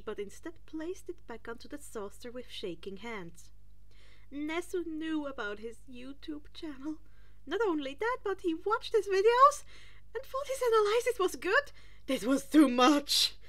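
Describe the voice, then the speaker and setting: High-pitched, animated woman narrator. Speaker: fan fiction audiobook reader. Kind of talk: expressive amateur story narration.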